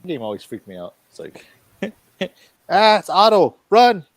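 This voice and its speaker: A middle-aged man in casual, informal conversation, given to animated exclamations. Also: sits high, measured pace, dry recording. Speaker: podcast co-host. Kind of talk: casual chat.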